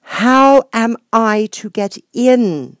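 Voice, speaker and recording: A man's voice: extremely high pitch, very slow, dry recording, studio quality, voice pushed up, full character voice. A man voicing a polite, puzzled young girl in a storybook, timid and plaintive, easily exasperated. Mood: pleading.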